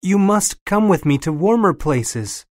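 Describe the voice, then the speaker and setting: A gentle, caring little bird with a slightly raised voice, as a man puts him on. The storybook reading sounds close and dry. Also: sits high, lively intonation, unhurried, no echo, pitched above the performer's own voice. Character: kind gentle male swallow, small bird.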